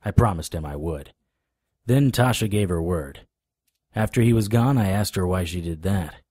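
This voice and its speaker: A man's voice: sits low, normal speed, mellow, slightly rough, dry recording. An actor playing a wry, sarcastic hard-boiled private investigator who narrates his own noir audio drama. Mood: wry narration. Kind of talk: noir radio drama with first-person narration.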